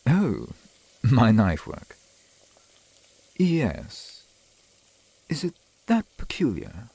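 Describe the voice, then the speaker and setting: A man playing a courtly, adoring centuries-old vampire boyfriend in an ASMR roleplay, speaking in formal, old-fashioned phrases with a husky voice. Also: medium pitch, huge pitch swings, extremely slow, warm tone, dry recording, exaggerated voice. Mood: wry, reminiscing. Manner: formal, archaic, genteel phrasing.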